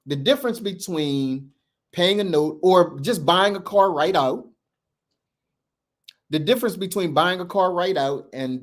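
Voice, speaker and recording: A man in his fifties lectures with earnest conviction, circling back and repeating his points for emphasis. His voice sits a touch high for a man, and his speech carries a lilt. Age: 50s. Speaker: podcast co-host. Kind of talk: casual conversation on money.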